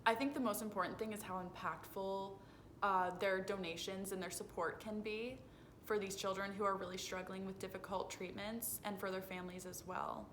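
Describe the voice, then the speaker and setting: A woman's voice: steady tone, normal speed, fairly bright tone. A woman in her twenties giving earnest, explanatory, rehearsed answers as an interviewee. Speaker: interviewee, foundation communications director. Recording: phone video with noisy low rumble.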